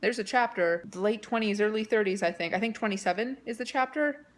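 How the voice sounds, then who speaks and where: A woman speaks in a medium-pitched voice, in the loose style of a personal vlog. She talks as a book vlogger would to her viewers, informal and slangy. Her delivery is conversational and openly opinionated. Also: a little fast. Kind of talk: casual vlog chat to camera.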